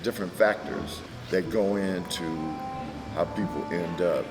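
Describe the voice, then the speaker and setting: Slow, low-voiced man, music behind. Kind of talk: unscripted interview remarks.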